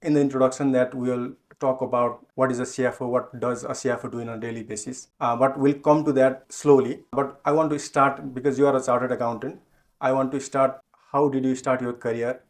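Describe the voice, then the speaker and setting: A man's voice: mid-range voice, level tone, fairly quick. A man hosting an accounting podcast, asking interview questions plainly and prompting with short acknowledgements. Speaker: podcast host.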